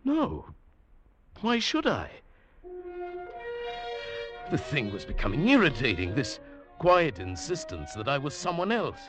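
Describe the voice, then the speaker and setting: An actor playing an uneasy traveller who narrates an eerie tale in a dramatic 1940s radio drama style. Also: male voice, on the high side, wildly swooping pitch, a little slow, pauses often. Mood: puzzled, then tense, fearful. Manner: dramatic, hushed swelling to agitated. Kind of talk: 1940s radio drama acting, first-person scripted narration. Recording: old radio broadcast with music.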